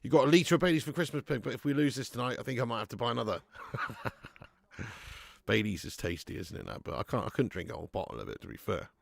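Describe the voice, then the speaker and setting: Gravelly-voiced fan hosting a match watch-along. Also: male voice, medium pitch, animated, fast talker, dry recording. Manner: sweary banter, bursts into loud cheering. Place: at home in front of a camera.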